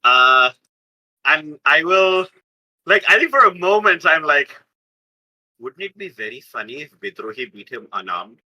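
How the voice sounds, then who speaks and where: A man playing a tabletop game, chatting casually and haltingly with asides spoken in character. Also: fairly high pitch, big pitch swings, bright, forceful tone, low-quality recording.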